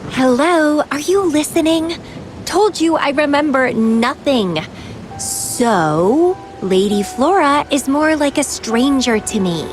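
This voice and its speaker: A woman's voice: high-pitched, lively intonation, slow, faint music behind. An actress playing a playful, carefree, enigmatic young woman in a video game, with a teasing, languid, theatrical delivery.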